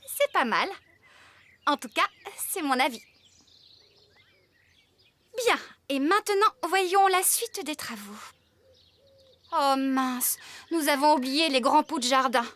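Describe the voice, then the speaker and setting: A high-pitched, bright voice for a young cartoon farmer. She is friendly and caring, speaking in an expressive, chatty and decisive way. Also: slow pace, long pauses. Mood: pleased, busy. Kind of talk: children's cartoon dub.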